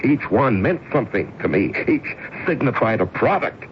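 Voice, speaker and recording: An American actor playing a middle-aged office man in a radio drama, pleading and obsessive, with a halting, emphatic delivery. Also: medium pitch, sing-song, close to an everyday voice. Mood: stubborn, impassioned. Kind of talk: 1970s radio drama acting. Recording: muffled, hissy old radio recording.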